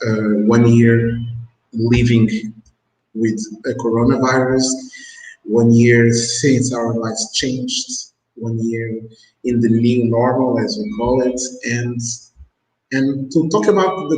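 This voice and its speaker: A man hosts an online talk show in a slow, unhurried way, pausing and restarting his sentences often. His voice sits at an ordinary pitch. The call is recorded in a room with a noticeable echo. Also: steady tone, a little mellow. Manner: slow, halting, with many restarts. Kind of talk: casual interview hosting. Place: Mozambique.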